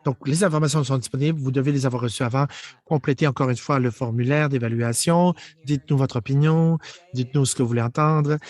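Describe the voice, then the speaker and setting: A man voicing a live interpretation of a panel discussion. His delivery is halting, and he restarts and rephrases his sentences on the fly. His pitch sits in a plain middle range for a man. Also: darkish tone, no echo. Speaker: simultaneous interpreter.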